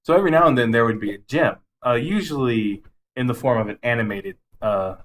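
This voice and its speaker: A man's voice: mid-range voice, fairly quick. Man co-hosting a casual podcast. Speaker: podcast co-host. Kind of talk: casual podcast chat about movies.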